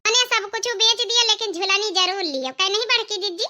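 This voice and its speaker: A woman's voice: extremely high pitch, a little fast, bright, forceful tone, exaggerated voice. A woman voicing a chatty, hospitable elder daughter-in-law with an Awadhi accent in a cartoon comedy.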